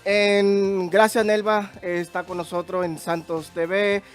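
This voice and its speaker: Bilingual male talk-show host, halting. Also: high voice, level tone, soft background music. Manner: switches between Spanish and English, halting. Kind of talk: bilingual interview hosting.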